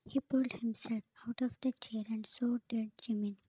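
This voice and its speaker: A woman narrating a story in a steady, soft unvoiced whisper. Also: fairly high pitch, moderate pace, slight background noise, poor audio. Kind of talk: whispered fan fiction reading.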